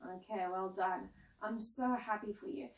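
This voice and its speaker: A woman's voice: medium pitch, steady tone, moderate pace. Young woman, 20s, upbeat interviewer; echoey room, mic set back. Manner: bubbly, frequent ums and restarts. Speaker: announcer and interviewer. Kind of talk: casual interview chat. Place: indoor lounge set, seated on couch. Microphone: far camera mic. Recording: roomy echo, distant mic.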